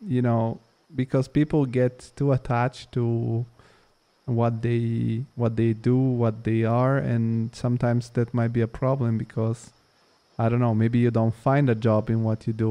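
A man's voice: medium pitch, little pitch movement, unhurried, dark tone. A man who grew up in Italy, hosting a podcast and asking long rambling questions full of fillers. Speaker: podcast host. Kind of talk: casual interview.

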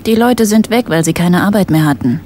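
An actress playing a guarded village shopkeeper in a German radio play, dry, curt and matter-of-fact. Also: female voice, fairly low pitch, animated, brisk, darkish tone, a little hiss or hum, natural voice. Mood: dry, obliging.

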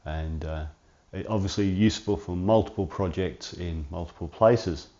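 Low-voiced man with a cold. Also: normal speed, muffled recording. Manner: relaxed, chatty, rambling asides. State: losing his voice, sniffly cold. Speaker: workshop channel host. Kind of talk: hands-on tutorial. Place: home garage workshop.

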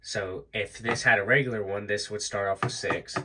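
A young man with a nasal, stuffy voice from allergies, chatting casually through a show-and-tell of his collection, relaxed and rambling with lots of ums. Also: fairly low pitch, normal speed, background noise. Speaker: knife collector vlogger. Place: indoors at a table.

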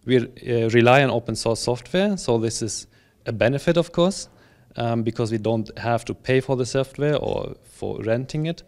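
Calm male interviewee, 30s; even, measured speech. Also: mid-range voice. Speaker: website steward, interviewee. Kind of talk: interview answers. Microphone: handheld interview mic.

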